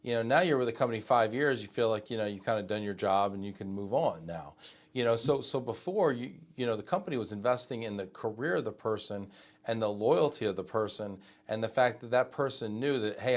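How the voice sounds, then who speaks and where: A man's voice: on the low side, lively intonation. Chatty man hosting a live interview. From Texas. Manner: talks fast with many asides and fillers, speaks to viewers directly. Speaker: host of a live show.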